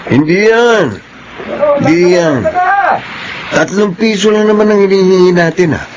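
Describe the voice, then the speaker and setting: Cocky, menacing Filipino thug, higher-pitched man. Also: lively intonation, measured pace, gravelly, dull audio, noisy background, exaggerated voice. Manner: boastful, bullying. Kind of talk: Filipino television drama acting.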